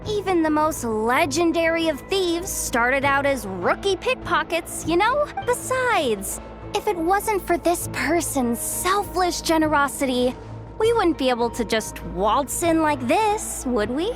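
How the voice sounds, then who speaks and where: A woman's voice: high-pitched, very animated, a little slow, over music. A woman voicing a cheeky, breezy young female treasure hunter in a video game, with a flippant, chatty, theatrical manner. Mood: playful bluster. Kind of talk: animated video game voice acting.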